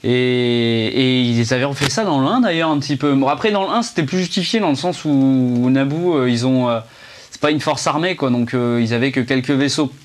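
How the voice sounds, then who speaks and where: A man's voice: medium pitch, a little fast. A young man giving a casual, rambling film review, chatty and full of fillers and restarts, speaking indoors to a camera under a ring light.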